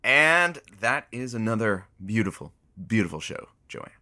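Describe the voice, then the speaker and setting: An actor playing a skeptical but soft-hearted male radio colleague, bantering conversationally with dry teasing in an audio drama. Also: fairly low pitch, sing-song, normal speed, heavily acted.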